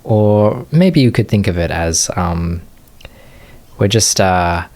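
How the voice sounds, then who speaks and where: Male meditation teacher, low register, very slow delivery, short phrases separated by long pauses. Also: expressive, darkish tone. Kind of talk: guided meditation, unscripted gentle instruction.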